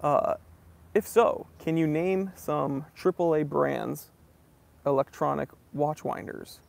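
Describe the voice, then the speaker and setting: A man's voice: on the high side, slow, creaky, darkish tone. A man from New England hosting a casual livestream Q&A. He reads viewer comments aloud and answers them in a friendly, easygoing way. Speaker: host of a watch channel.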